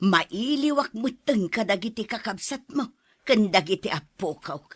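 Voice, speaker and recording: An elderly mother in a radio drama, bright and clear-cut in tone. She fusses affectionately over her children, recorded close and dry. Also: medium pitch, expressive, a little slow, no echo. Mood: fussing.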